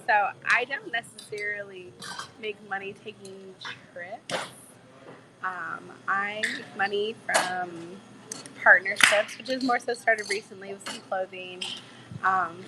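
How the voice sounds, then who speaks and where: A woman travel vlogger with a cold, her voice a bit hoarse, chatting in a bubbly, gushing way to her live viewers from a bar. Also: mid-range voice, very noisy. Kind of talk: casual live-stream chat with viewers. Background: music playing in the bar.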